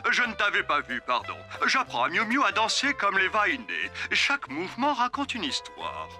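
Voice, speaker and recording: An eccentric old man of science, very sharp and bright. His cheerful cartoon delivery swoops widely, with faint music behind. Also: mid-range voice, huge pitch swings, normal speed, full character voice. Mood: eccentric, amused. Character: eccentric, cheerful elderly male scientist professor.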